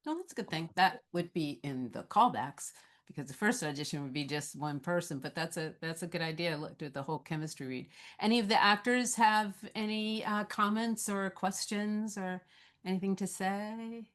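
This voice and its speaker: A woman's voice: on the low side, normal speed, a bit bright. A woman moderating a conversational question-and-answer session and putting questions to a guest.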